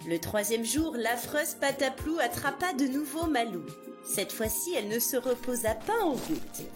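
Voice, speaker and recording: A woman narrating a scripted fairy tale for young children in an expressive, dramatic way. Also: a little high, moderate pace. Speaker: children's storyteller. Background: music behind the voice.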